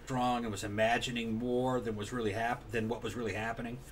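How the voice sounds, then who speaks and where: The mid-pitched male co-host of a homemade podcast, talking a point over and over with a friend, faint noise in the background. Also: little pitch movement, fairly quick. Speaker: show co-host. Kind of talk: casual conversational discussion.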